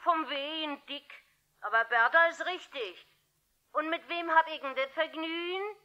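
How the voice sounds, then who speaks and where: An actress playing a plucky, gruff, plump household cook, blunt and folksy, in a 1960s German radio play. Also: female voice, high-pitched, a little slow, bright, forceful tone. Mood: brusque. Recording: dull vintage radio play sound.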